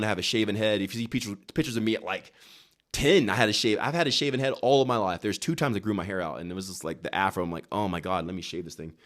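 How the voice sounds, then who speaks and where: A man talking as an interview guest in an emphatic, motivational way, often repeating phrases and posing rhetorical questions. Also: lowish voice. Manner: emphatic, repetitive, rhetorical questions and self-quotes. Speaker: interview guest, wellness guide creator. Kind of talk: animated motivational talk in conversation.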